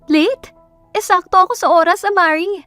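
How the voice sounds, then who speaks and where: A woman's voice: very high-pitched, normal speed, sharp tone, no echo, soft background music, voice pushed up. A woman voicing a haughty, sassy young woman in an audio romance, sarcastic and dramatic, mixing Tagalog and English. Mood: irritated. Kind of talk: audio romance story character voice.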